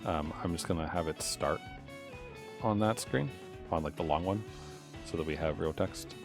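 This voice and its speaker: A man's voice: low voice, normal speed, music in the background. A man streaming live as he codes, talking casually and unscripted, rambling as he thinks aloud. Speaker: live streamer coding a game.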